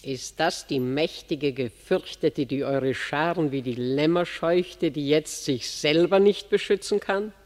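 A woman's voice: low voice, unhurried, few pauses, darkish tone. An actress playing a mocking, imperious queen mother who takes a young warrior woman prisoner, in a classic verse radio drama. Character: scornful queen mother, commanding captor. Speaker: villainous royal captor. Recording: long hall reverb.

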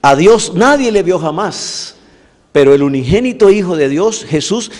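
A man giving a preaching-style lecture on evangelism theology, speaking deliberately and building long lists of points with emphasis. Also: high voice, expressive, a little mellow. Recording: long reverb in a large hall.

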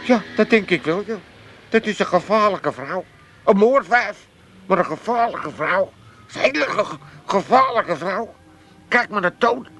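A scheming, bossy old dad in a comedy radio play. His voice sits high and leaps about as he blusters and repeats himself. There is clear background noise on the recording. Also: lively intonation, measured pace, a bit bright, full character voice. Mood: suspicious, gossiping. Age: older. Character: meddling, scheming, bossy old father, fond of a drink. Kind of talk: 1980s Dutch comedy radio play acting.

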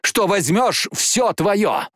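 A man's voice: on the high side, fairly even intonation, slow, a bit bright, no echo, clean studio sound, voice pushed up. A man voicing a defiant, passionate young woman who pilots a dragon, crying out intensely in an audiobook. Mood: reckless excitement.

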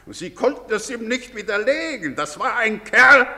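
An actor playing a jovial, lecturing doctor in a radio drama. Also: male voice, very high voice, sing-song, moderate pace, sharp tone, exaggerated voice. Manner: jovial, lecturing, drops Latin phrases. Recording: long hall reverb.

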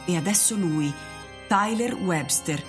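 A woman narrator reading a literary radio drama in a measured storytelling manner. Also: fairly low pitch, music in the background.

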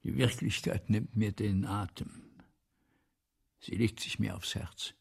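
An actor in a German radio play playing an anguished, fearful French playwright, theatrical and husky, swinging from hushed weariness to declamation. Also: male voice, fairly low pitch, fairly even intonation, slow pace, full character voice. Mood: exhausted, despairing. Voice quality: husky, hoarse, gravelly.

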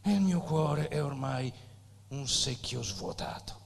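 An actor playing a tormented, nihilistic poet who confesses with solemn emphasis and dramatic pauses in a theatrical style. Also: male voice, medium pitch, gravelly. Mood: despairing. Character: tormented, nihilistic male poet Alvaro de Campos, passionately confessing. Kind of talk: theatrical stage acting. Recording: reverberant hall with faint hum.

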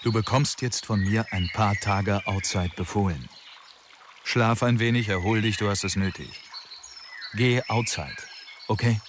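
A man playing a middle-aged police boss in a radio crime play, halting and apologetic yet firm. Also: on the low side, background noise, lightly acted.

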